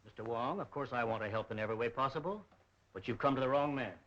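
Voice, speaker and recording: An actor playing a brisk, polished businessman in 1930s film style. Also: medium pitch, fairly bright tone, close to an everyday voice. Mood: defensive, curt. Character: defensive, brisk businessman, curt yet socially polished. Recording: muffled 1930s film soundtrack.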